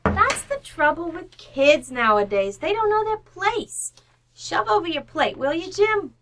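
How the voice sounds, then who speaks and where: A woman's voice: very high voice, very animated, measured pace, noisy background, full character voice. A woman acting a brash, nosy, slangy housekeeper in an old-time radio comedy, blunt and overly familiar. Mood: bossy.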